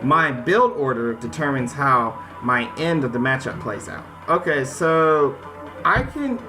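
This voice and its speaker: A man's voice: mid-range voice, lively intonation, measured pace. An American man hosting a gaming video, mixing casual commentary with reading game text aloud, informal and prone to stammering and repeating words. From United States. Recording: music behind the voice.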